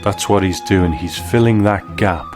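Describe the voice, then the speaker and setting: Low-voiced man presenting a commentary. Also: moderate pace, music in the background. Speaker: host and reviewer. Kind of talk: scripted video essay commentary.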